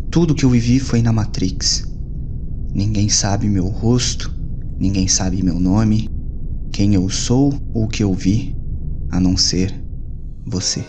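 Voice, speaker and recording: A man's voice: fairly low pitch, noisy background, natural voice. A man acting in an audio drama as a jaded, slangy hacker loner in a cyberpunk city. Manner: brooding, slangy. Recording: music behind the voice.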